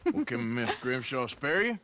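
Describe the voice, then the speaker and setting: An actor playing a weary, gruff American outlaw gunslinger in a video game, with a dry, understated drawl. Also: male voice, medium pitch, big pitch swings, unhurried, fairly bright tone, dry recording. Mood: dry, teasing. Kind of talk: cinematic game dialogue and journal narration.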